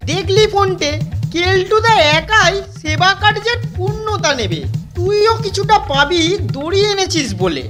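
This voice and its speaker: A woman's voice: very high-pitched, sing-song, a little slow, heavily acted. A woman voicing a clever, cheeky young boy in a cartoon. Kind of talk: animated cartoon dubbing. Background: cartoon background music.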